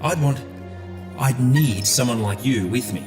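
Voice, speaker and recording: An actor playing a loyal, reassuring emperor and old friend in a fantasy audio drama, earnest and insistent. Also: male voice, mid-range voice, measured pace, over music, lightly acted. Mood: sincere, friendly.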